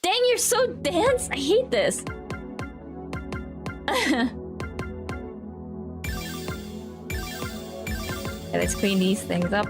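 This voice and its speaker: A woman from the Philippines, slightly raspy, chatty and giggly as she reads a visual novel aloud on stream. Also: extremely high pitch, wildly swooping pitch, very slow, pauses often. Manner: chatty, giggly, reacting to the game. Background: game music.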